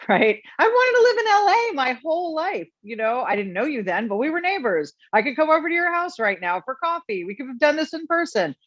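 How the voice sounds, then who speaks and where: A woman's voice: on the high side, fairly bright tone, dry recording. A middle-aged woman hosting a podcast, telling personal stories earnestly and reflectively, often restarting her sentences. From United States. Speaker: podcast host. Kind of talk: casual interview chat. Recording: dry, dull lo-fi sound.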